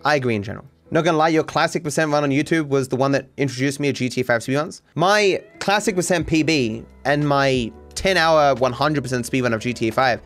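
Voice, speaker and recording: A man's voice: medium pitch, fast, hardly any pauses, a bit bright, soft background music. A man in his thirties streaming from home on a desk mic, casually reacting to viewer takes in an opinionated, rambling way and swearing freely. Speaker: speedrunning streamer. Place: home streaming room, indoors.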